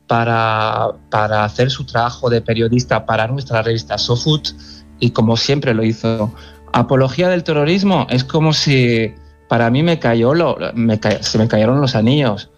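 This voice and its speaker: A man's voice: medium pitch, dull audio. A man from France, an editor being interviewed, speaking earnestly with many restarts. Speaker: editor-in-chief of a football magazine. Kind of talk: phone interview on radio.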